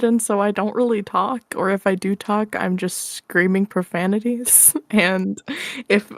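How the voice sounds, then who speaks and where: A woman's voice: mid-range voice, no room sound, studio quality. A woman chatting casually as an interview guest, informal and self-deprecating. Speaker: interview guest, audio roleplay creator. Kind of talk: casual chat.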